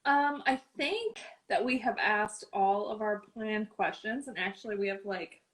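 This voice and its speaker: A woman's voice: mid-range voice, lively intonation, a little slow, fairly bright tone, low-quality recording. A woman hosting a casual interview, upbeat and encouraging, laughing easily. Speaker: interviewer host.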